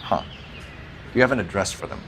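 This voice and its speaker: An actor playing a terse, determined police detective in a 1940s crime game, with clipped, businesslike questioning. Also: male voice, lowish voice, quick pace, very noisy, natural voice. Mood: businesslike, probing. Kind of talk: dramatic game cutscene acting.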